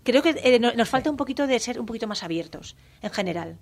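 A woman poet speaking as an interview guest in a lively, emphatic way. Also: fairly high pitch. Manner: lively, emphatic, quick-talking. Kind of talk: interview conversation.

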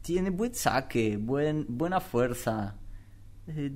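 Man putting on a cheeky, halting tennis star. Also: mid-range voice, expressive, a little mellow. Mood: boastful, cheeky. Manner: halting, stumbling over words. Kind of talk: improvised radio comedy impression.

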